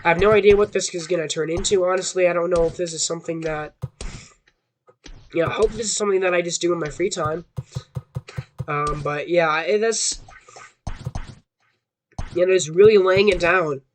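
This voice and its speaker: Young guy, hoarse throat, rambly and unsure of himself, kinda high voice, faint hiss behind. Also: normal speed, pauses often. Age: young adult. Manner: rambling, self-doubting, restarts a lot. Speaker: gaming YouTuber. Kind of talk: casual rambling commentary.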